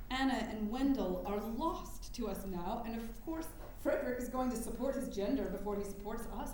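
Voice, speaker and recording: A woman's voice: fairly high pitch, a little mellow, lightly acted. A woman acting a weary, heartbroken and blunt suffrage leader in a staged period drama, speaking in pressing, formal phrases. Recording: very echoey room with faint noise.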